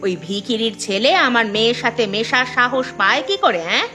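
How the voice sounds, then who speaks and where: Woman voicing a high-pitched, scheming village mother. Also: normal speed, over music, lightly acted. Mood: scornful, scheming. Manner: scornful, calculating. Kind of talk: cartoon voice acting.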